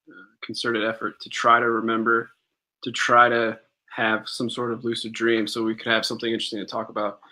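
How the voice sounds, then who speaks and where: A man's voice: lowish voice, pushed and bright, rough recording. A man co-hosting a podcast in casual live-stream chat, easygoing and agreeable, reading out viewer comments. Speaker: podcast co-host.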